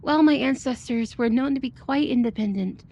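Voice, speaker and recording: A woman's voice: sits high, a little fast, a little mellow, husky. A woman playing a sweet, devoted but sly animal hybrid roommate in an ASMR roleplay, with a sugary, coaxing manner. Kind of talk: ASMR audio roleplay acting.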